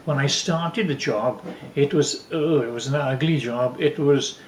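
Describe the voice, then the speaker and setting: An older man from Wales telling stories from his life casually, haltingly, repeating words as he recalls them. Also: mid-range voice, slight background noise. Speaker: father telling family stories. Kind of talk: casual storytelling, reminiscing. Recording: roomy, echoey home recording.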